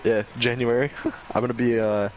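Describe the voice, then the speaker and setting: A young man from Michigan chatting excitedly in a voice chat, full of slang and quick to hype the other speaker up. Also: medium pitch, a little hiss or hum, low-quality recording. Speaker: gamer in voice chat. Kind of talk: casual chat.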